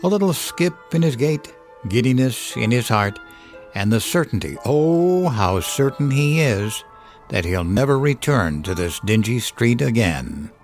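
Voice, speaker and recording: A man playing the brooding narrator of a dark audio drama, measured and theatrical. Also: medium pitch, very animated, faint music behind. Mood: ominous, wry.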